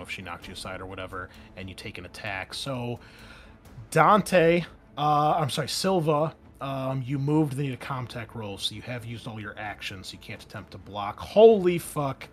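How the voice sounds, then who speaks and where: A man's voice: medium pitch, lively intonation, brisk, a bit bright, soft background music. A man narrating a tabletop roleplaying game as game master, conversational and improvising rulings as he goes.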